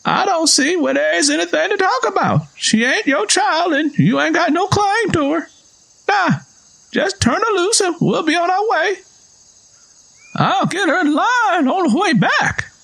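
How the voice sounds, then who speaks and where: Stern old southern father, super high creaky voice, pushy and blunt, storybook drama. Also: lively intonation, measured pace, a bit bright, heavily acted, rough recording. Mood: insistent. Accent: American South. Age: older. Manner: insistent, blunt, confrontational.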